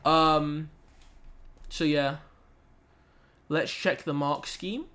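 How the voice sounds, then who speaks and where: Young male streamer, high voice, laid-back slangy chat while working problems aloud. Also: fairly even intonation, drawn-out words, pushed and bright. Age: young adult. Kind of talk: casual live-stream chat while solving maths.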